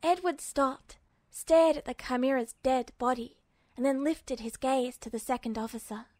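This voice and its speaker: A woman's voice: high-pitched, steady tone, moderate pace, a little mellow, no room sound, clean studio sound. A woman reading a scripted story aloud as an audiobook-style fan fiction narrator. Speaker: podfic narrator.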